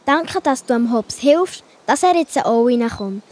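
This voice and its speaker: Woman playing a high-voiced Swiss German little girl. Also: animated, measured pace, a little mellow. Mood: earnest prayer. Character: cheerful, sensible young girl animal character. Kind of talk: children's audio play acting.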